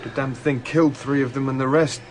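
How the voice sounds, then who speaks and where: A man's voice: mid-range voice, steady tone, brisk, a little hiss or hum, lightly acted. A man playing a subdued, sullen sled-dog handler in an audio drama.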